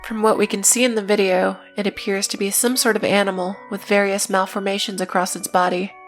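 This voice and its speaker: A woman's voice: mid-range voice, flat delivery, a little fast. Woman narrating evenly over music. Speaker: video essay narrator. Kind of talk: scripted narration with casual asides.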